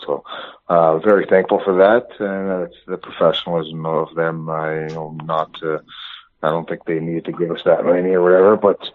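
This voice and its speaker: A man, a hockey coach, being interviewed over the phone, talking in long rambling runs with many restarts. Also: rumbling low. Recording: tinny phone line.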